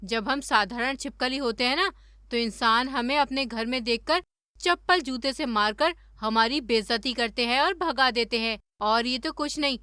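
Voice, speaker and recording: A sly magical golden lizard from a cartoon, played by a woman, with a high and piercing bright voice. She taunts and boasts theatrically, with menace under the words. The sound is close and dry. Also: dry recording. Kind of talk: Hindi cartoon story dubbing.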